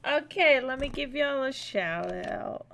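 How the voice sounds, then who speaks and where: A woman's voice: high-pitched, expressive, a little slow, vocal fry, fairly bright tone. A woman live streaming a game, chatting casually with viewers and reacting to what happens.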